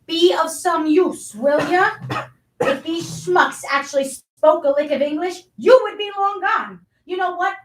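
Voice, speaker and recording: A man's voice: very high-pitched, fairly bright tone. A man playing a contemptuous, bullying restaurant boss in a staged reading, speaking in a blunt, sneering way. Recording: roomy echo, distant microphone.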